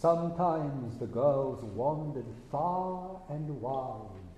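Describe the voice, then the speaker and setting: Man, fairy tale narrator, unhurried measured phrasing, mellow tone, big reverberant hall. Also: mid-range voice, animated. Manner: measured, deliberate phrasing with pauses. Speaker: storyteller narrating a stage performance. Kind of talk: fairy tale storytelling for a eurythmy stage show. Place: large indoor stage hall with an audience. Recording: very echoey hall, distant, faint noise.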